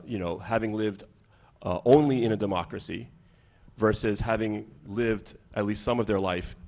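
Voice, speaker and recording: Male teacher from Boston leading a panel: lowish, gravelly voice, loose and rambling delivery, often circling back to rephrase a question. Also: a little mellow. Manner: rambling, searching for words. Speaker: teacher moderating a student panel. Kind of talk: unscripted panel introduction and questions.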